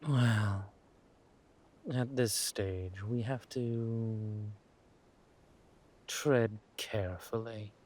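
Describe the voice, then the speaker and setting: A man performs a suave villain king in an intimate audio drama. His voice sits a little low and moves very slowly, with halting pauses and purring hums. He is ruthless, yet teasing and loving toward his wife. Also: steady tone, a little mellow. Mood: tender, smug.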